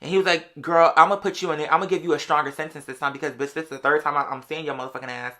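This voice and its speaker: A bright, forceful American voice of someone in their late twenties, pitched in an in-between register. The delivery is casual and gossipy, full of slang and sassy interjections, like confiding in a close friend. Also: steady tone, very fast. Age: late 20s. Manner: conversational and sassy, heavy slang, often interjecting "girl".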